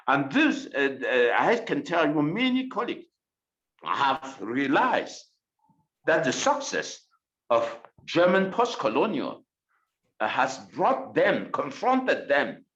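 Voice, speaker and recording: Slow, halting non-native male scholar. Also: on the high side, fairly bright tone. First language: not English. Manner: halting, many fillers and restarts while searching for words. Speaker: panel speaker, scholar. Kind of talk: unscripted panel discussion. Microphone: video call. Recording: roomy, slightly dull video call audio.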